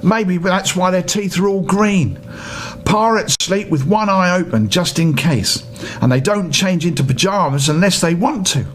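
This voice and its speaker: An older man reading a children's story theatrically, with playful asides to the listener. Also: measured pace. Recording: noisy home recording with rumble.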